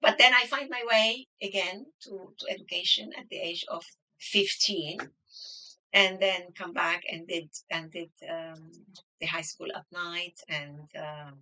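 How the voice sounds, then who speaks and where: A woman's voice: fairly low pitch, steady tone, a little slow, bright, forceful tone, rough recording. A woman in her sixties, raised in Lebanon, telling her life story as an interview guest, with restarts and fillers. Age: 60s. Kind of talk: conversational interview.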